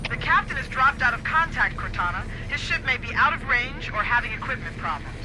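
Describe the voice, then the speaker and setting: A woman's voice: fairly high pitch, animated, brisk. A woman voicing a professional, wry female dropship pilot, talking in clipped radio chatter. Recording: radio over loud engine noise.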